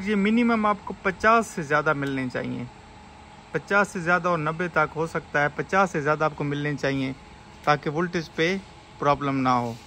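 A man's voice: on the high side, bright, forceful tone, poor audio. A man explaining a hands-on repair step by step as an informal video tutorial. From Pakistan. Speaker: repair technician and video host. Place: indoor repair workshop. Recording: clear background noise in a workshop.

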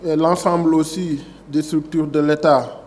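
A man's voice: on the high side, little pitch movement, measured pace, darkish tone. Senegalese man giving a formal speech. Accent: Senegalese French. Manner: reads prepared text, then digresses with many fillers and restarts. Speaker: agency director giving a speech. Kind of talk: formal speech at a signing ceremony. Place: indoor conference room. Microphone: table microphone.

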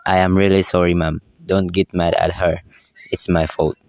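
Guy acting apologetic young athlete, low monotone voice, dry sound, radio play. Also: moderate pace, no echo. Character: polite, apologetic young varsity athlete boyfriend. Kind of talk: amateur radio drama acting.

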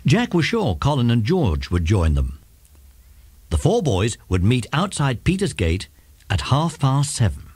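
A man's voice: medium pitch, huge pitch swings, unhurried, darkish tone, husky, dry recording. A man narrating a children's story from a script.

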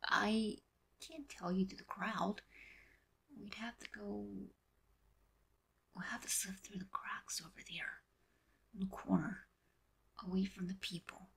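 Woman voicing a breathy, teasing teenage boy. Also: medium pitch, unhurried, long pauses, heavily acted. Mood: secretive. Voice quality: breathy, often whispering. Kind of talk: amateur fan audio drama.

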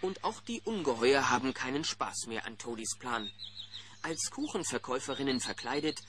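A man's voice: medium pitch, lively intonation, moderate pace, a bit bright, slight background noise, lightly acted. A man playing the wry narrator of a children's audio drama, commenting on the characters as he tells it. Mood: wry storytelling. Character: storyteller who comments wryly on the characters.

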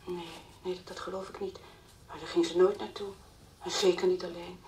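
An actress playing the anxious, distraught mother of a missing girl in a Dutch radio play, halting and repeating her words. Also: lowish voice, flat delivery, darkish tone, close to an everyday voice. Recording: old radio play recording, faint hiss.

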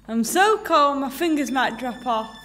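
A woman's voice: high voice, expressive, unhurried, background noise. A woman playing a doubtful, worried young camper in a puppet story, with a plain questioning manner. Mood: uneasy.

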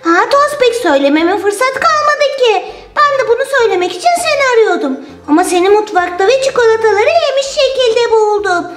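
A woman's voice: extremely high pitch, animated, brisk, few pauses. A woman voicing an exasperated little girl in a children's toy story, exclamatory and reproachful. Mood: upset, reproachful. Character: scolding little girl, exasperated friend of turtle. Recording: roomy sound with music underneath.

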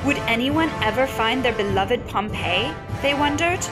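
A woman with a fairly high voice reads a children's story aloud. Her phrasing is measured and deliberate, with pauses between phrases. Prominent music plays behind her throughout. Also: expressive. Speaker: read-aloud teacher and storyteller. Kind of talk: expressive read-aloud of a children's picture book.

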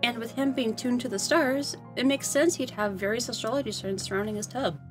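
A woman's voice: on the high side, fairly quick, over music. A woman co-hosting a scripted commentary on a cartoon, in conversational banter. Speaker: co-host.

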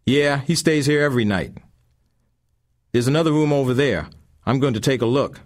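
A man putting on rough street thugs from a storybook, loud, menacing and threatening. The voices ride well above his deep natural tone, pushed and forceful with a rough street drawl. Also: moderate pace, natural voice. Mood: tense. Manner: shouting, rough street talk.

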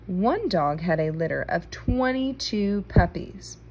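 A woman reading a children's nonfiction book aloud, phrasing it clearly for young listeners, recorded indoors on a handheld phone. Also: medium pitch, lively intonation, takes it slowly, a little mellow, slight background noise, rough recording.

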